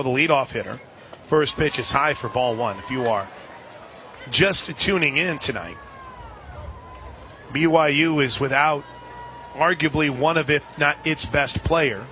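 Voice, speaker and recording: A man doing live radio play-by-play commentary of a softball game. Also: a little high. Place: outdoor softball stadium broadcast position. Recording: outdoor ballpark, clear crowd noise behind.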